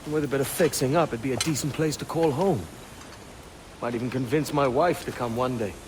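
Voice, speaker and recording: An actor playing a roguish, practical pirate captain in a game, casual and wryly sceptical. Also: male voice, medium pitch, a little fast, noisy background, lightly acted. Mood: reflective. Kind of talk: game cutscene drama acting.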